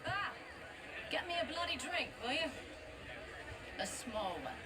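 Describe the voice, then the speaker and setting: Tipsy posh party guest, flippant, loud chatter all around, game scene. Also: female voice, high-pitched, big pitch swings, a little slow. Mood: flippant, thirsty. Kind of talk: video game acting. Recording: busy crowd behind.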